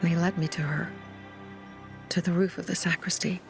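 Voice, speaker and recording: An actress playing a resolute, hardened young survivor leader in a video game, earnest and measured. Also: female voice, fairly low pitch, flat delivery, gravelly, music in the background. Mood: somber recollection. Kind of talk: naturalistic video game acting.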